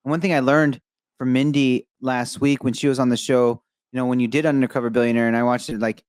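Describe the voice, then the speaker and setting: A young adult man from Egypt hosts a podcast and talks in an enthusiastic, rambling rush, full of fillers and praise. His voice sits at an ordinary male pitch. The recording is dry and close, with no room sound. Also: fast, clean tone, no room sound. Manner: animated, effusive, rambling with many fillers. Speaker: podcast host. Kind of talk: enthusiastic interview chat.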